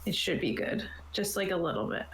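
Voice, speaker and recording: A woman's voice: on the low side, animated, brisk. A woman hosting a casual livestream chat about tabletop gaming, thinking aloud in a hesitant way as she explains things. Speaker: podcast host and game keeper. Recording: roomy echo, faint background noise.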